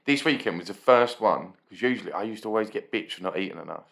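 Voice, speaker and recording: A booming man with a fairly low voice co-hosts a casual podcast. He teases and jokes, dropping swear words easily. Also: quick pace, vocal fry, a bit bright. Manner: joking, teasing, swears freely. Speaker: podcast co-host. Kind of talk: casual banter.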